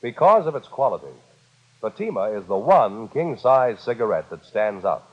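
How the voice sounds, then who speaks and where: A husky American man announces a vintage 1950s radio commercial with lively, swinging intonation. His medium-pitched voice has long reverb and a dull old-broadcast sound. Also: moderate pace. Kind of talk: scripted 1950s radio advertisement.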